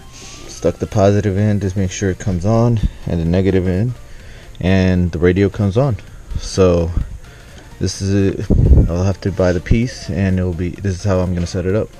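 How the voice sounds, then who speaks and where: A man's voice: low-pitched, dark tone. A man giving a casual how-to narration as he talks through a hands-on DIY job for a vlog. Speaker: vlogger showing a DIY truck radio install.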